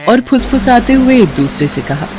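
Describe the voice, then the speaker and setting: A woman's voice: fairly high pitch, big pitch swings, moderate pace, a crowd in the background. A woman narrator telling a scripted story in a dramatic storytelling style.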